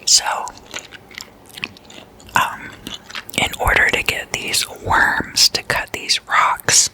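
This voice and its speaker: An ASMR host whispering casually in a breathy, intimate way, softly lingering over words as if telling stories to a friend. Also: extremely slow.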